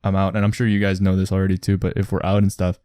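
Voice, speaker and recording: A young man with a fairly low voice that projects, recorded dry with little room sound. He chats casually as a podcast co-host, often questioning informally. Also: monotone, quick pace, clear, resonant tone, no echo. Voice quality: loud, projecting voice. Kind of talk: casual chat.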